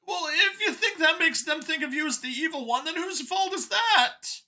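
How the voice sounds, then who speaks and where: A man voicing an anxious alien father who pleads and gushes haltingly in a strained voice, for a story. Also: pushed and bright, heavily acted. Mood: defensive, pleading. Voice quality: high, strained voice. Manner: halting, hesitant, gushing and apologetic by turns.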